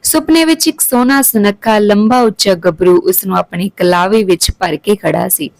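A woman's voice: mid-range voice, moderate pace, vocal fry, darkish tone, dry recording. A woman narrating a scripted emotional family story as a storyteller.

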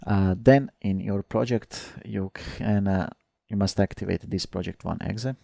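A low, gravelly man from Croatia, speaking as a non-native talker in a screencast. His voice is dark and mellow, recorded close and dry at a desktop mic. He explains step by step and hesitates often with fillers. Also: a little slow, no room sound. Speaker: software tutorial presenter. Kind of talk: screencast tutorial.

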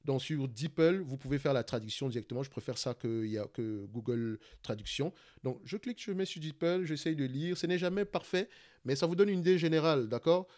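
A man with a Cameroonian French accent who hosts an investing channel, talking quickly through a live screen-share stock analysis, often restarting phrases and checking in with "d'accord?". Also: mid-range voice, animated, darkish tone. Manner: fast talker, searches for words, many restarts and "d'accord?".

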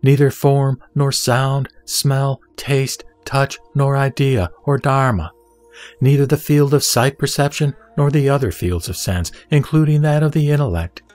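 A man reciting a sutra as a solemn scripted reading, in measured, deliberate phrases with pauses. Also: medium pitch, darkish tone. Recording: background music under the voice. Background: music.

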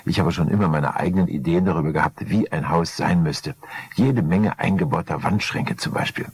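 A man's voice: deep voice, fairly quick, creaky, slightly rough, dry recording, heavily acted. A man voicing a gullible, adoring wife, gushing and simpering, in an audiobook crime story. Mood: eager.

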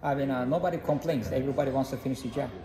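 A man's voice: mid-range voice, slow pace, reverberant, a crowd in the background. A man working at a store, matter-of-factly explaining rising material prices to a customer. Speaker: store worker. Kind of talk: customer conversation. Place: large flooring store.

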